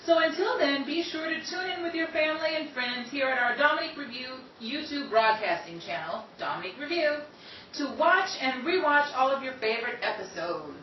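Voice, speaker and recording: High-pitched, theatrical woman announcer. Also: expressive, moderate pace, recorded from a distance, muffled recording. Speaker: announcer of an audio drama series. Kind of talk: scripted broadcast sign-off and credits. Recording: echoey, noisy, off-mic.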